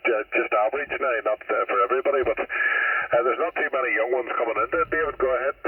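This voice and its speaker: A man's voice: medium pitch, quick pace, recorded from a distance. A middle-aged man with a Northern Irish accent chatting casually and ramblingly over the radio, hesitant and wryly self-deprecating. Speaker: amateur radio operator. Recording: husky-edged radio link, tinny narrow band.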